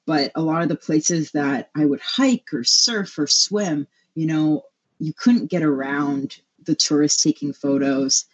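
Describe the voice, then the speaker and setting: A woman's voice: deep voice, unhurried. A woman from Hawaii with an American accent, answering interview questions in a conversational, reflective way. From Hawaii, USA. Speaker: interview guest, photographer. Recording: dull, lo-fi remote-sounding audio.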